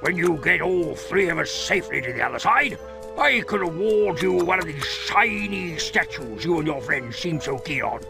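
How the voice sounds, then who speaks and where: A man's voice: a little high, animated, normal speed, vocal fry. A man voicing a pompous, boastful father goat with a posh British accent, in a cartoon game fandub. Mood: scheming. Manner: pompous, old-fashioned exclamations. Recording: loud music behind the voice.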